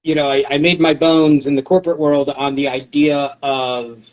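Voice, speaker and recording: A man's voice: on the high side, level tone, moderate pace, highs cut off. A man in his forties talking casually in an interview, rambling in a wry, self-deprecating way. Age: 40s. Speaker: poetry show organizer.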